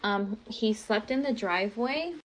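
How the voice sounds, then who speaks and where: A woman's voice: medium pitch, unhurried. An American woman telling a personal story casually, in a candid, rambling, exasperated way. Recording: clearly noisy recording with clicks.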